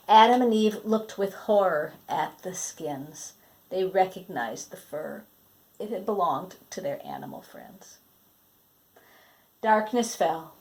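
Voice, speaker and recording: Expressive woman reading a children's storybook. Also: lowish voice, rough recording. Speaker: storybook reader. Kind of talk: expressive read-aloud of a children's book.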